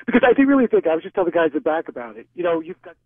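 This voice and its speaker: Fast-talking man on a tinny phone line. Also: a little high, sing-song, no room sound. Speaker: radio call-in listener. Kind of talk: casual chat.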